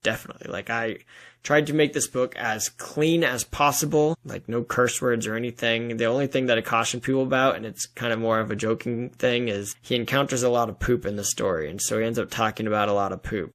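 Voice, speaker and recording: A man's voice: medium pitch, fairly even intonation, brisk, a bit bright. Enthusiastic man chatting as a guest. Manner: enthusiastic, earnest, informal. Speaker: guest author. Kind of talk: casual interview talk.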